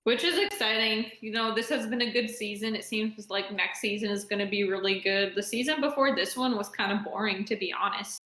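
A woman co-hosting a podcast, chatting casually in a relaxed, easygoing way with hedged opinions. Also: mid-range voice, brisk, few pauses. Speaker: podcast co-host. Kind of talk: casual chat. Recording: echoey room.

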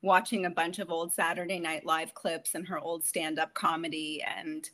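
A woman's voice: sits low, little pitch movement, normal speed, a bit bright. A woman in her thirties from Ontario, Canada, a guest actress chatting in an interview, telling stories and laughing often. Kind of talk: casual interview chat.

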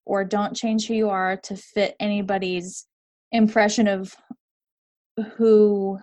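A woman's voice: medium pitch, level tone, slow, a little mellow. A young adult woman, a podcast guest, talking earnestly and filling her speech with "like". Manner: earnest, uses "like" a lot. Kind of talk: casual podcast interview.

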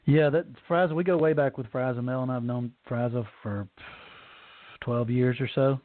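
A man's voice: medium pitch, fairly even intonation, moderate pace, no echo. Folksy Southern man hosting over a phone line. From Alabama, US. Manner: folksy, joking, drawling. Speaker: radio show host. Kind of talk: casual radio chat.

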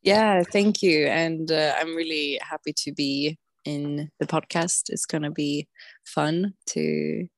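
Woman guest, low, slow and reflective, on a remote call from home. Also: fairly even intonation, vocal fry, dry recording, studio quality. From southern Sweden. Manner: thoughtful, unhurried, often pausing to think. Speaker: podcast guest. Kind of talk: casual conversational interview. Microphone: remote online call.

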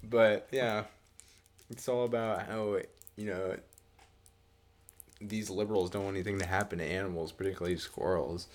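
Deep-voiced young American man, rambling casually. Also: fairly even intonation. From American Midwest. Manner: rambling, halting, swears casually. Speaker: podcast co-host. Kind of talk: casual comedic chat.

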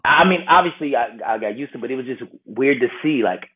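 An American man telling stories casually as a guest, slangy and animated in the way he acts out little exclamations. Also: medium pitch, quick pace, husky. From Milwaukee, United States. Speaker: podcast guest, travel series host. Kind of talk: casual conversational storytelling. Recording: thin phone-like call audio.

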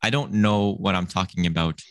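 A man's voice: low voice, normal speed. A man hosting a casual chat among friends, rambling with many fillers. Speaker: podcast host.

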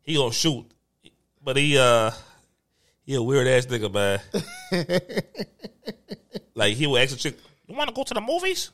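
A man co-hosting a laid-back podcast, speaking with a bright, forward tone. His pitch swings widely as he tells loose, rambling stories. He keeps breaking into laughter between lines. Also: very animated, normal speed, long pauses. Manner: laughs often, loose storytelling with restarts. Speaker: podcast co-host. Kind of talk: casual podcast banter.

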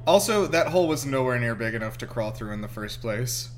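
A man giving casual, excitable, sweary commentary as host of a film reaction show. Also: medium pitch, fairly quick. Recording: music playing behind. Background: film soundtrack music.

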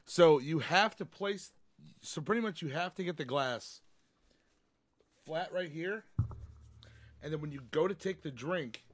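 A man's voice: a little high, lively intonation, a little slow, pauses often, dry recording. A man co-hosting a podcast who explains things step by step and drops dry jokes in casual chat with friends. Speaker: podcast co-host.

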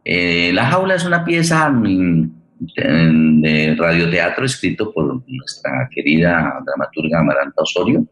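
A man with an extremely low voice hosts a radio programme. He talks in long, formal, courteous sentences, often pausing and restarting as he searches for the next phrase. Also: sing-song, a bit bright. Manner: halting, wordy, formal and courteous. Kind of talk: unscripted, welcoming introduction of a guest and play.

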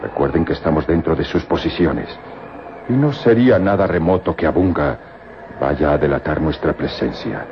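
Forceful male desert guide, very low, declamatory and animated, muffled radio drama. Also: moderate pace, slight background noise. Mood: grave warning. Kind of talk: old-time radio drama acting. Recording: muffled old radio recording, loud music behind.

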